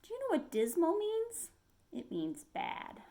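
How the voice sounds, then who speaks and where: A woman's voice: very high-pitched, huge pitch swings, unhurried, dark tone. A woman reading a picture book aloud to young children as a librarian, expressively, in a style that invites listeners in with questions. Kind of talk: animated storytime read-aloud for young children.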